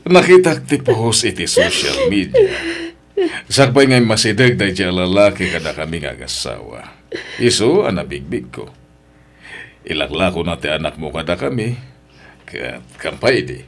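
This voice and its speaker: A male actor playing an earnest, emotional man in an Ilocano radio drama. His voice is fairly low and moves widely in pitch, with an Ilocano Filipino accent. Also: wildly swooping pitch, normal speed, full character voice. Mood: earnest, informative.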